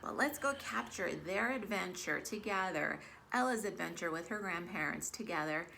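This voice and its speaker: A woman's voice: fairly low pitch, lively intonation, moderate pace, vocal fry, bright, forceful tone, slight background noise. A woman reading a picture book aloud to young children and talking to them directly as a storytime host and yoga teacher. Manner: talks directly to children, addressing them as friends. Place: at home, virtual storytime.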